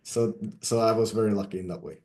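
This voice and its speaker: A man's voice: lowish voice, fairly even intonation, dark tone. Swedish-born man in an echoey room. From Sweden. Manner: measured, storytelling, with fillers. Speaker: guest, software company CEO. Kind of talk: casual interview conversation.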